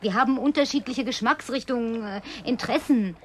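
High-voiced coquettish young-girlish woman, played by an actress. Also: brisk, a little hiss or hum, close to an everyday voice. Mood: earnest, persuasive. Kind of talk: naturalistic German radio play dialogue.

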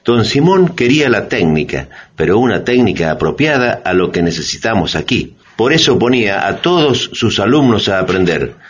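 An actor playing an earnest, emphatic storyteller, delivering dramatic scripted narration for radio theatre. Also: male voice, on the low side, big pitch swings, normal speed, few pauses, breathy edge, dull audio. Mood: reflective, emphatic.

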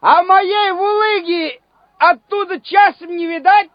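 Very high-pitched, wisecracking soldier. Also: male voice, slow pace. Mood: bantering. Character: wisecracking, folksy Soviet soldier at the front. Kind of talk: Soviet radio drama acting. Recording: dull old radio recording with music.

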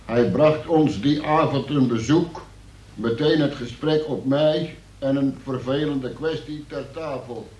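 A man's voice: medium pitch, measured pace, a little mellow, natural voice. Earnest male police sergeant, played by an actor, radio drama: formal, plodding read-aloud delivery, roomy acoustic. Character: earnest police sergeant stiffly reading out a suspect's statement. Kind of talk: reading a statement aloud. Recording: echoey room.

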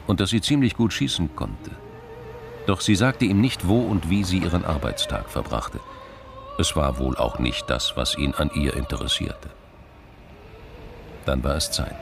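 A man's voice: deep voice, gravelly. A man reading scripted literary narration as the narrator of a radio crime drama.

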